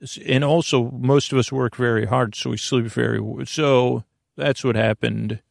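A man with a creaky voice hosting a bedtime podcast, rambling through a meandering introduction in a halting, digressive way, repeating and smushing words. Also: mid-range voice, fairly even intonation, no echo, studio quality. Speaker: podcast host.

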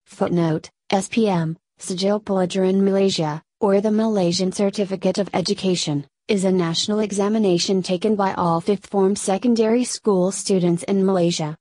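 A woman reading a news feature aloud in an even, steady delivery. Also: medium pitch, moderate pace, few pauses, no room sound. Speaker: news reader.

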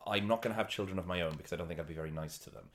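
A man's voice: low-pitched, very fast. A man with a Welsh accent, a native Welsh speaker, chatting casually and emphatically as a comedian. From Wales. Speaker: comedian, podcast guest.

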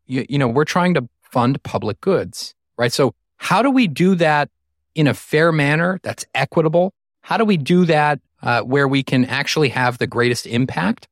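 A man with a middle-pitched voice talks through his own take as a co-host. He reflects aloud and grows enthusiastic as he makes a point. The recording is dry and close. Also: no room sound. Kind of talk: interview discussion.

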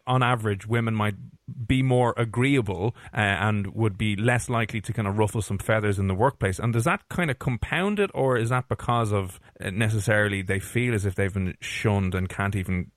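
A man hosting a casual interview, relaxed and joking, with many fillers. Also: lowish voice, fairly quick, dry recording, clean studio sound. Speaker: host.

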